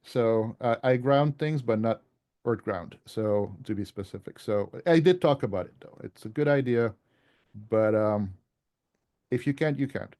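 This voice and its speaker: A man presents his work to a club in an informal, conversational manner. His voice is mellow and relaxed, at an ordinary male pitch. He comes across as self-deprecating as he walks through his slides. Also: a little slow. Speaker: author giving a club presentation.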